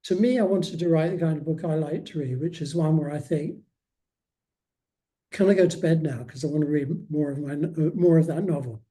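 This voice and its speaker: A man's voice: on the high side, a little fast, dark tone. A man speaking as a guest author in a casual interview, often hesitating and restarting his phrases.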